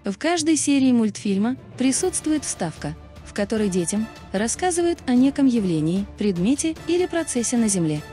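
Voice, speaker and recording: A woman's voice: on the high side, very animated, moderate pace, few pauses, dark tone. A woman reading scripted, documentary-style narration. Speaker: video narrator. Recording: music behind the voice. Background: background music.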